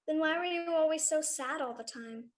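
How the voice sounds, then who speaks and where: A woman's voice: high voice, normal speed, lightly acted. A woman playing an earnest, inquisitive little girl with a direct, innocent manner in a live radio drama. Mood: curious.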